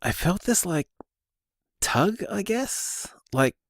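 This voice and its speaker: A man's voice: high-pitched, slow pace, dry recording, studio quality, heavily acted. An actor playing an earnest young man discovering luck magic, talking in a thoughtful, musing way. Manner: thoughtful, musing, lively delivery. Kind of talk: audio drama, voice-message monologue.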